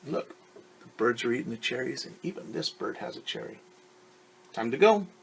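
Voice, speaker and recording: Husky man reading a picture book. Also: mid-range voice, expressive, rough recording. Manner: pauses to explain words and quiz young listeners. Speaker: teacher.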